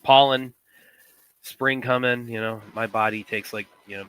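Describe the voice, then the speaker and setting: A man's voice: mid-range voice, fairly even intonation, moderate pace, a bit bright, smooth, rough recording. A young man co-hosting a live stream, rambling with many fillers and restarts, sniffling from a runny nose. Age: young adult. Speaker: co-host. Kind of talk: casual live stream chat. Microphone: computer headset mic with sound trouble.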